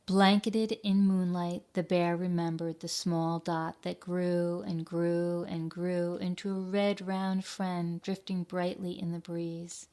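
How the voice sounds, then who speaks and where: A woman reading aloud and talking simply and gently to young children as a storytime leader. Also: fairly low pitch, flat delivery, slow pace, hardly any pauses. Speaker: children's library storytime reader.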